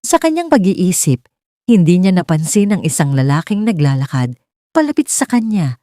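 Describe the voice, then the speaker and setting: A woman's voice: lowish voice, very animated, normal speed, dark tone, no room sound, clean studio sound. A woman reading a scripted romance story as its narrator.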